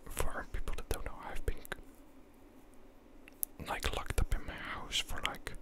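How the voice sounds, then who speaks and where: A young man, an ASMR creator, rambling and crude in a whisper at home. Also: measured pace.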